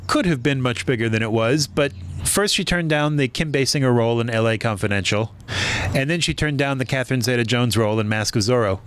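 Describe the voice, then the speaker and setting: A man co-hosting a podcast, with a voice of middling pitch for a man. He walks through background facts plainly and slips in dry jokes. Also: brisk, a little hiss or hum. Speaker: podcast co-host. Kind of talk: casual podcast chat, sharing bio facts.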